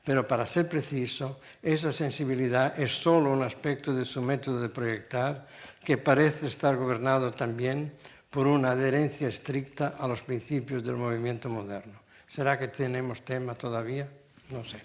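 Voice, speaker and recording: A man moderating a talk, reading a measured, formal introduction of the speaker. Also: medium pitch, fairly even intonation. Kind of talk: formal introduction, read aloud. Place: lecture hall.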